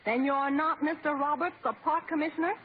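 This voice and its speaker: An actress playing a haughty, brisk society matron with a sharp manner, in old-time radio comedy style. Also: female voice, high-pitched, steady tone, natural voice. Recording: tinny, noisy old radio broadcast.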